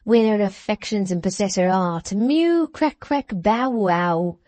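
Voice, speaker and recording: Woman reading a bullying, mocking older rich man in a storybook; dark dry voice. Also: medium pitch, lively intonation, measured pace, breathy edge, no echo.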